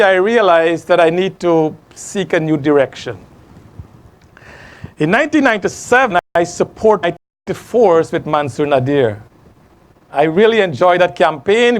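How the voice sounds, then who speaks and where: High-voiced Guyanese man hosting. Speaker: host. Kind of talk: scripted monologue to camera. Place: small studio.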